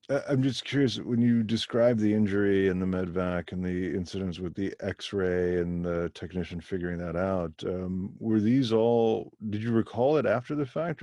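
A man with a deep, dark and relaxed voice hosts a conversational interview. He is attentive, dropping in brief reactions and thoughtful questions. The sound is very dry, with no room around it. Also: dry recording.

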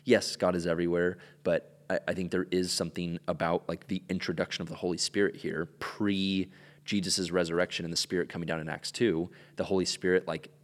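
A man who grew up in Ecuador, a pastor talking casually on a podcast, relaxed and joking with lots of filler words. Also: deep voice, fairly quick, long reverb tail.

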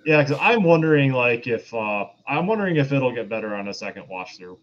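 A man's voice: mid-range voice, big pitch swings, fairly quick, a bit bright. A man co-hosting a podcast, casually reviewing in a hesitant way, repeating himself as he searches for words, on a lo-fi microphone. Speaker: podcast co-host. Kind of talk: casual chat, film review. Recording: dull lo-fi mic with faint noise.

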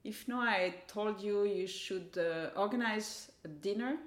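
A woman's voice: medium pitch, a little slow. A woman interviewing someone in a conversational way, posing questions and reflecting on the answers. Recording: echoey room.

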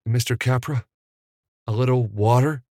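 Man acting an earnest young fellow, raised pitch. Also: unhurried, a little mellow, no room sound, clean studio sound. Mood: polite, nervous. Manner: deferential yet gutsy. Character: earnest, polite, plucky young male job seeker.

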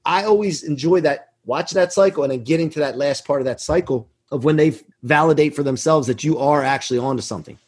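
Husky, higher-voiced man in his forties. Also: quick pace, few pauses, darkish tone. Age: 40s. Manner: emphatic, self-assured, earnest. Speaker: podcast guest, business executive. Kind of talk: motivational interview talk, anecdotes.

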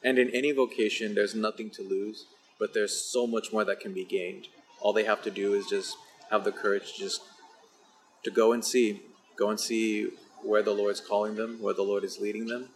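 A man's voice: lowish voice, a little hiss or hum. A man, a seminarian, earnestly urging listeners to consider the priesthood. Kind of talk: encouraging testimony.

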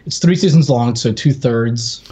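A man with a husky, mid-pitched voice co-hosts a podcast. He talks in a casual, conversational way, as in an informal live chat. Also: a little slow, warm tone. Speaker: podcast co-host.

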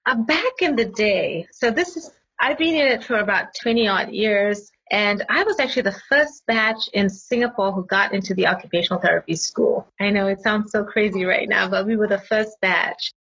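A woman's voice: medium pitch, moderate pace, hardly any pauses, fairly bright tone, breathy edge, highs cut off. A woman in her forties from Malaysia, a pediatric occupational therapist, telling her story in a friendly way as a guest. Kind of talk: casual interview.

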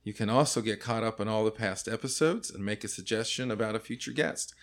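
A man's voice: fairly low pitch, a little fast. A man in his forties hosting a casual podcast, joking loosely and laughing often as he leads the talk. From California. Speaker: podcast host.

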